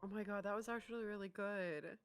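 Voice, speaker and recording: A woman's voice: mid-range voice, fairly even intonation, brisk, music in the background. A woman co-hosting a casual reaction show, chatting bluntly and expressively about game trailers.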